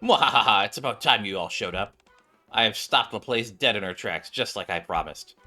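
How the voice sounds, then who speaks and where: A man's voice: lowish voice, animated, normal speed, pushed and bright, faint music behind. A man voicing a proud, boastful, theatrical game villainess with a competitive streak. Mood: triumphant. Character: blustering, competitive self-styled villainess, proud and cackling.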